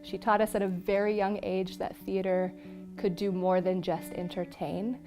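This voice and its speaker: A woman's voice: medium pitch, little pitch movement, moderate pace. Woman teacher over prominent guitar music. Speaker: theatre teacher and internship program director. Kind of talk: reflective documentary interview.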